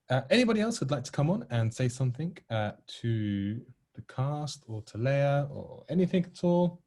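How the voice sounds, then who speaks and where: A man's voice: mid-range voice, a little mellow. A man hosting an online event informally, speaking politely and reassuringly, with frequent hesitant ums and uhs. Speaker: host of an online play table read. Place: online video call.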